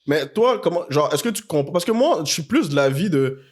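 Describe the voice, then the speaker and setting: A man's voice: a little high, expressive, fairly quick. A man with a Quebec French accent hosting a podcast, chatting in slangy French mixed with English words. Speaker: podcast host. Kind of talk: casual podcast conversation.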